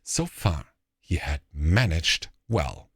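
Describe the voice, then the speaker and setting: Male fairy tale narrator, slow and expressive, recorded dry and close. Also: a bass voice, slightly rough, dry recording. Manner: deliberate, expressive phrasing. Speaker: storyteller.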